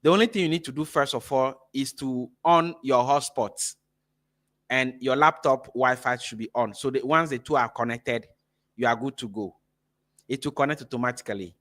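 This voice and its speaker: Ghanaian guy running an online teacher workshop, lively and repeats himself a lot, phone mic. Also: mid-range voice, normal speed. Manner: energetic, repeats words, checks in with "right?". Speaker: workshop host and teacher trainer. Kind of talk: live-streamed workshop lesson. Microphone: phone camera mic.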